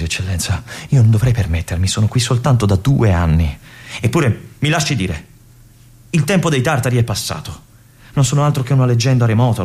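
An actor playing a restless young army lieutenant in a theatrical monologue, with an intense, halting delivery that moves between hushed and urgent. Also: male voice, medium pitch, husky. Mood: deferential, insistent. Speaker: stage actor performing a monologue.

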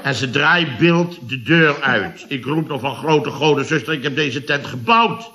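Blustering boss, bright forceful voice. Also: male voice, fairly high pitch, measured pace. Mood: aggrieved. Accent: Netherlandic Dutch. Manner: pompous, complaining, exclamatory. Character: blustering, self-important male boss of a building firm, aggrieved. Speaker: actor in a radio comedy serial. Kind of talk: 1970s radio comedy acting.

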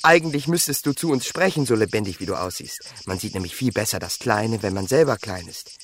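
A male actor plays an eager son in a radio drama, earnestly retelling wonders. His delivery is emphatic and lively, with clear cricket chirping in the background. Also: on the low side, fairly quick, slight background noise, natural voice. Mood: vivid recounting. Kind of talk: German radio play acting.

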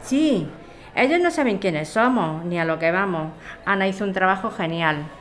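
A woman's voice: mid-range voice, animated, moderate pace, lightly acted. A woman with an accent from Spain acting an eager, concerned amateur sleuth in radio soap dialogue. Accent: Spanish.

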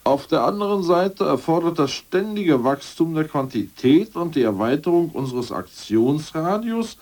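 An actor playing a scheming, self-assured ousted party official in a radio drama, brisk and mocking, who slips into bureaucratic jargon. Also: male voice, fairly high pitch, lively intonation, a little mellow. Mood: scornful. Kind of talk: 1980s radio drama acting.

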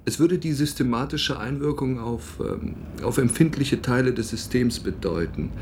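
An actor playing a deferential, calculating subordinate official in a German radio drama, polite and a little hesitant. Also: male voice, medium pitch, normal speed, a little mellow, a little hiss or hum, natural voice. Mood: cautiously scheming. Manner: polite, hesitant, matter-of-fact.

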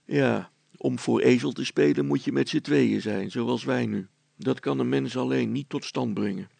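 A man's voice: mid-range voice, normal speed, gravelly, darkish tone, no room sound. A man voicing a wry, sceptical father who teases with mock politeness, in a storybook reading. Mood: dry teasing.

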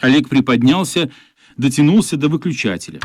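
Expressive male radio-play narrator. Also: medium pitch, a little fast, no room sound. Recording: dry studio, music behind.

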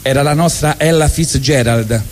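A man with a Roman accent telling a comic monologue on stage, lively and full of asides. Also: fairly high pitch, moderate pace, faint music behind. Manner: lively, jokey, chatty asides. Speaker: storyteller on stage. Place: live stage at a benefit evening.

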